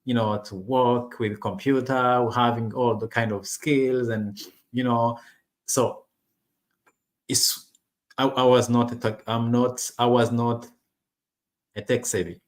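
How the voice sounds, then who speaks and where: A man's voice: medium pitch, fairly even intonation, slow, long pauses. A man from Rwanda, English not his first language, talking casually to camera with many restarts and fillers. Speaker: online business vlogger. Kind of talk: casual personal talk to camera.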